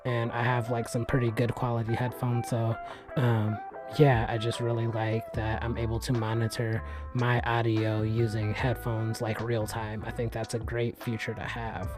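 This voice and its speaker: A man hosting a gadget review channel, talking casually about a microphone in a soft voice with plenty of fillers. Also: mid-range voice, moderate pace, over music. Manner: relaxed, chatty, many fillers. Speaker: tech reviewer, channel host. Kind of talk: casual product review vlog. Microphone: USB desk microphone.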